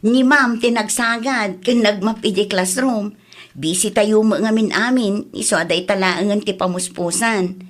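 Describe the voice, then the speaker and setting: A woman's voice: mid-range voice, unhurried, natural voice. A woman playing an Ilocano-accented village mother in a comedy-drama, chatting about everyday matters. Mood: friendly, chatty.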